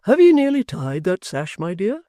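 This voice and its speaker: A reader voicing a gentle, kindly middle-aged locksmith in a storybook reading, speaking earnestly. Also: male voice, high voice, big pitch swings, moderate pace, no echo, clean studio sound, pitched above the performer's own voice.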